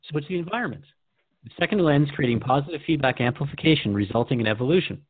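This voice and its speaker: A man's voice: a little high, lively intonation, quick pace, dry recording. A man hosting a radio drama dialogue, informal and keen, recapping points and asking questions in a conversational way. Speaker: radio host. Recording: dry, dull phone-like narrow sound.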